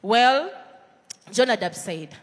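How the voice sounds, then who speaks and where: A woman's voice: fairly high pitch, expressive, slow. Ugandan woman preaching in a reverberant hall. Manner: earnest, conversational, with many fillers and restarts. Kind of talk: sermon. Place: church.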